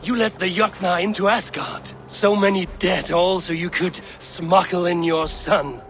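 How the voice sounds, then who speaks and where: A man's voice: high voice, fairly even intonation, unhurried, a bit bright, a little hiss or hum. An actor playing a proud, stern Norse god-king in a video game, speaking in a grave, commanding, formal way. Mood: accusing. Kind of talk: dramatic video game cutscene acting.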